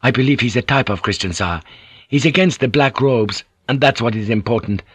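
A man performs a confident samurai counselor in a historical audiobook. He reasons in a measured, persuasive way with courtly respect. The sound is close and dry. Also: medium pitch, expressive, fairly bright tone, slightly rough, no room sound.

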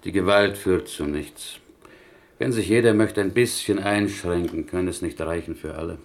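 A mild, fussy and very polite character in a radio play. The voice is low, with an Eastern European accent, formal courtesy and gently questioning, turned-around sentences. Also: male voice, normal speed. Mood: gently disapproving. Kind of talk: German radio drama acting.

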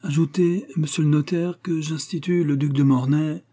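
A man's voice: fairly high pitch, steady tone, normal speed, mellow, voice pushed up, close to an everyday voice. Man putting on a faint, solemn dying marquise. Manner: weak, solemn. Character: faint, solemn young marquise feigning a deathbed. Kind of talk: comic literary audiobook dialogue.

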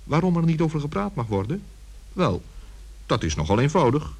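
A man's voice: fairly high pitch, big pitch swings, moderate pace, a little mellow, husky. A man narrating a scripted children's story as the storyteller.

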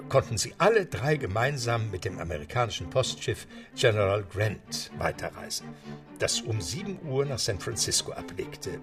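An actor narrating a radio play as an English butler, in a measured storytelling tone. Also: male voice, on the low side.

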